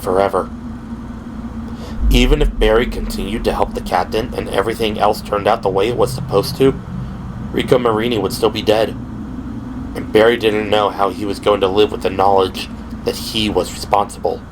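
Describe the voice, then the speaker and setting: A man's voice: fairly low pitch, steady tone, moderate pace. A man reading dramatic scripted narration for a horror audio drama. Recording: clearly noisy background. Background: ambient sound effects.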